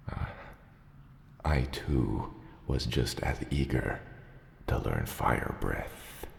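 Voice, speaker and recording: A man voicing a gruff, dry-witted dragon father in an ASMR roleplay, fond of his hatchling, with a halting, deadpan, teasing delivery. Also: a little hiss or hum, full character voice. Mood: patient, encouraging. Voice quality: very deep, gravelly. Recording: roomy cave-like reverb, clear background ambience.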